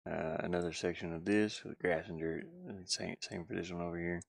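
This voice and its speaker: Male game dev streamer, gravelly, very dry close sound, hesitant off-the-cuff commentary. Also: deep voice, fairly even intonation, fairly quick, dry recording. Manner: rambling, musing, hesitant. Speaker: game developer live streamer. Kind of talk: casual live stream commentary, thinking aloud.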